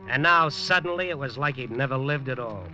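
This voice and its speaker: An actor playing a hard-boiled newspaper reporter who narrates with a wry, clipped noir delivery in 1950s radio style. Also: male voice, medium pitch, fairly quick, pushed and bright. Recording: dull old radio broadcast with music.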